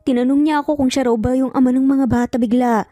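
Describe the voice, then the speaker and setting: A woman's voice: high voice, steady tone, fast talker, warm tone, no echo, clean studio sound, natural voice. A woman voicing a guarded, defiant young mother in a romance story, firm and emphatic, over music. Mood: troubled. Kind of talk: Tagalog romance drama storytelling. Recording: music bed under the voice.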